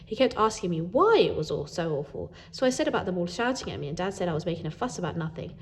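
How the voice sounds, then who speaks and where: A woman reading a children's book aloud in an animated way, as a storyteller who acts out the dialogue. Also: fairly low pitch, fast, slight background noise.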